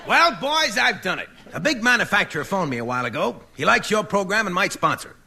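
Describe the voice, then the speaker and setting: An actor playing a brisk, pushy show-business manager in a 1940s radio comedy, speaking emphatically. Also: male voice, sits high, very animated, creaky, a crowd in the background, exaggerated voice. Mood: urgent, pitching. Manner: emphatic, urging, salesman-like.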